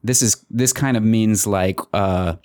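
A man co-hosting a podcast, explaining things casually and haltingly, repeating words. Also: on the low side, a little mellow, no room sound, studio quality. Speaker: podcast co-host. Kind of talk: casual conversation.

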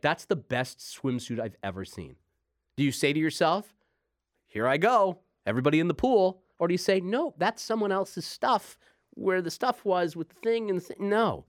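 A man's voice: fairly high pitch, very animated, normal speed, no echo, studio quality. A man co-hosting a radio show, teasing his partner in mock-indignant casual banter. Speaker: radio co-host.

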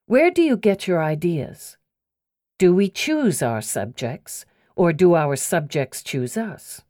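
Mellow, measured woman narrating literary prose. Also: lowish voice, very animated, dry recording, studio quality. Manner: measured, deliberate, precise phrasing. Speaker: audiobook narrator. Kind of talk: scripted literary essay and poetry narration.

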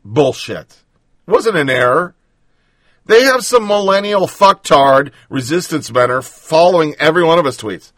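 A man's voice: fairly high pitch, animated, normal speed, a bit bright, no echo, studio quality. Blunt, sarcastic male commentator. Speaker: political commentary host. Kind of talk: reading news then ranting commentary.

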